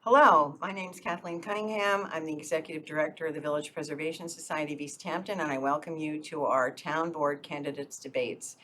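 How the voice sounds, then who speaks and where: A woman's voice: deep voice, steady tone, brisk, few pauses, a bit bright, a little hiss or hum, low-quality recording. A woman moderating a local candidates debate, introducing the speakers in a friendly, informal way with some fillers.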